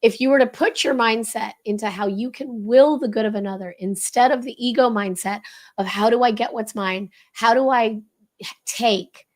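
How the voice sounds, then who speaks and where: A woman's voice: a little high, moderate pace. A woman with a slightly crackly, strained voice explains leadership ideas as an expert guest, speaking emphatically and instructively with rhetorical questions. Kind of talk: explanatory interview talk.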